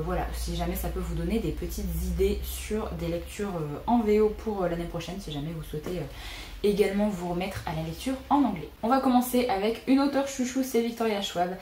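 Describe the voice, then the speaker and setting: Young woman, chatty booktuber, mellow tone, roomy echo, faint hiss. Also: medium pitch. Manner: chatty, frequent "euh" fillers. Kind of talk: casual book wishlist vlog. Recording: echoey room with faint noise.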